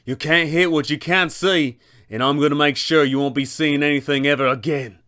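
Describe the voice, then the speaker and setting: A man's voice: on the high side, fairly even intonation, normal speed, sharp tone, clear, resonant tone. Man acting a cocky, boastful illusion wizard. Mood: defiant. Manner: boastful, theatrical.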